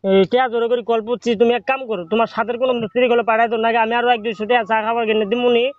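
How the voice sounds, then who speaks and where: High-pitched, fast-talking man complaining. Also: flat delivery, narrow, tinny audio. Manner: insistent, complaining, repetitive, talks over the other person. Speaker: prank caller. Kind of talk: prank phone call, pleading argument. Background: music.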